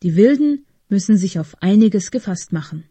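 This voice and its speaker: A woman reads a prepared commentary for radio. Her voice is soft-edged and dark, with a noticeably husky grain, and it sounds very dry, with no room around it. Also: mid-range voice, lively intonation, normal speed, dry recording. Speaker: radio presenter reading a commentary. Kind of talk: scripted reading of a political essay.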